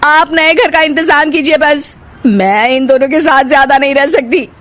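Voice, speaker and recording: A woman's voice: high-pitched, moderate pace, fairly bright tone, voice pushed up. A woman voicing a bossy, scolding elder daughter-in-law in a cartoon story. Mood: demanding.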